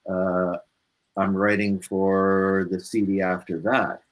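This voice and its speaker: A man's voice: deep voice, little pitch movement, slow. A man speaking as a musician guest in a casual interview, telling stories in a rambling, easygoing way. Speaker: musician guest on a talk show.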